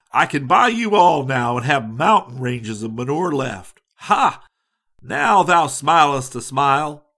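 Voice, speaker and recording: A man's voice: a little high, fairly bright tone. A man voicing a lovelorn young suitor, bitter and defiant, declaiming in a mock-heroic, archaic storybook manner.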